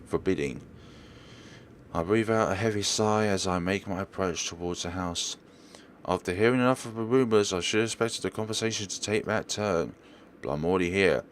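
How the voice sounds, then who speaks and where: A man's voice: low voice, moderate pace, a little hiss or hum. A man hosting a let's play, reading a visual novel aloud in a theatrical way and sometimes stumbling and rereading words. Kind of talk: dramatic read-aloud narration of a visual novel.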